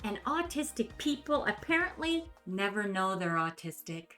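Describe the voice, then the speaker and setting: A woman giving a dramatic reading with her own commentary in an animated, sardonic manner. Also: mid-range voice, normal speed, soft background music. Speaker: video host.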